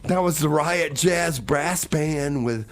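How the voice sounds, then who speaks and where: A man's voice: fairly high pitch, slow, faint music behind. An American man hosting a radio show with casual, rambling banter and a hesitant, exclaiming way of talking. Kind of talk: casual radio banter and song back-announcing. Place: small radio station studio.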